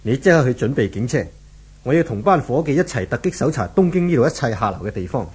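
A man's voice: medium pitch, animated, quick pace, darkish tone, husky. A man acting as a firm, methodical police inspector in a 1970s radio drama, with a Hong Kong Cantonese accent. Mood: measured, firm. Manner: firm, commanding, probing. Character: authoritative, methodical male police inspector leading raids.

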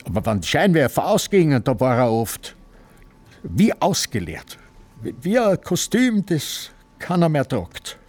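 A man's voice: fairly high pitch, very animated, darkish tone. An actor playing a hesitant, rambling old film director, stammering as he reminisces in a radio drama. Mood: wistful, reminiscing. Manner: halting, stammering, searching for words. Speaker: guest on a show.

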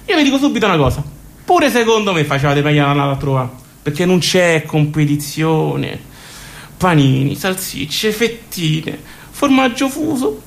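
A woman with a Roman accent delivering a colloquial, self-mocking comic monologue straight to the audience as presenter. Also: mid-range voice. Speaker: stage presenter.

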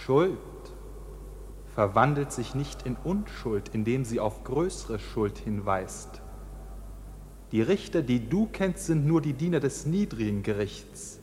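An actor playing a stern priest of a court in a radio drama, solemn and sermonizing. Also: male voice, mid-range voice, sing-song, slow, a little mellow, slight background noise. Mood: solemn, cryptic. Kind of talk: dramatic radio play acting. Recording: long church-like reverb, background ambience.

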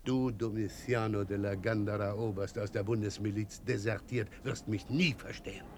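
An actor playing a defiant, impulsive Creole landowner leading a rebellion, speaking formally and resolutely in a German radio play. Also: male voice, on the low side, steady tone, normal speed, slight background noise, natural voice.

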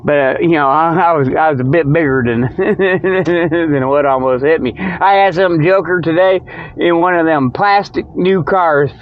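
A man's voice: fairly high pitch, no room sound. A man hosting a comic show in a rambling, folksy monologue, digressing freely and given to chuckling at his own jokes. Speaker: host of a comic Sasquatch-hunting show. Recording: dull, lo-fi.

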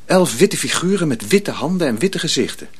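Animated male storyteller, suspenseful delivery. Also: a little high, husky. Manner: deliberate, suspenseful. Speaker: narrator. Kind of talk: dramatic scripted story narration.

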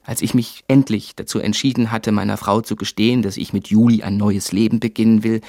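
An actor playing a middle-aged, pressured adman husband in a radio drama, husky and measured. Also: fairly low pitch, fairly even intonation, a little mellow, no echo, clean studio sound, lightly acted. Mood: earnest, self-justifying. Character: pressured adman husband, placating and self-justifying. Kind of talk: radio drama acting, dialogue and monologue.

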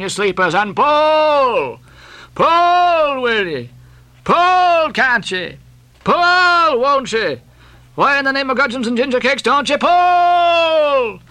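A man's voice: extremely high pitch, sharp tone, clean tone, pitched above the performer's own voice, exaggerated voice. A man voicing a jolly, rascally second mate who coaxes and playfully scolds his rowers in an audiobook. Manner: coaxing drawl mixed with playful scolding. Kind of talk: audiobook character acting.

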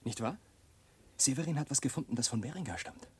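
Cocky young monk, swooping delivery. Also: male voice, mid-range voice, lively intonation, a little fast, husky, dry recording. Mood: sly, insinuating. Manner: insinuating, then swelling into indignation. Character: nosy, cocky, passionate young monk student. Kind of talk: German radio drama acting.

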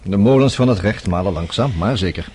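A man's voice: lowish voice, animated, a little fast, a little mellow, breathy edge. A man acting in a radio play as a self-assured young interviewer, wry, breezy and evasive. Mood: agreeable.

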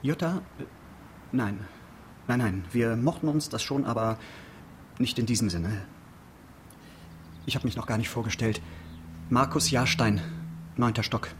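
A man's voice: medium pitch, a little slow, long pauses, slight background noise, lightly acted. An actor playing a fussy, eager busybody of a male neighbour in a radio crime drama, precise, hesitant and mildly pompous. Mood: politely self-important. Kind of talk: naturalistic German radio crime drama acting.

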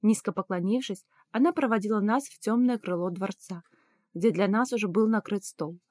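A woman narrates a first-person fiction audiobook. Her medium-pitched voice follows a written script and is recorded close and dry, with very little room sound. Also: moderate pace, darkish tone, no echo.

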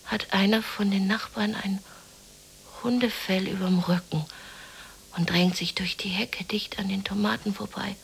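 An actress playing a lonely, depressed, dreamy woman in a radio play monologue, musing haltingly to herself in a breathy half-whisper. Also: mid-range voice, fairly even intonation, a little hiss or hum, rough recording. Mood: haunted, remembering.

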